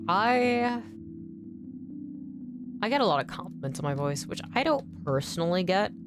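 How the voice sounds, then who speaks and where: A young woman streamer with a slightly raspy, nerdy voice, chatting casually and encouragingly to her viewers in a rambling way. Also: mid-range voice, sing-song, moderate pace. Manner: rambling, encouraging, lots of "like" and restarts. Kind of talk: casual chat to stream viewers while drawing. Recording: music playing in the background. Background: music playing.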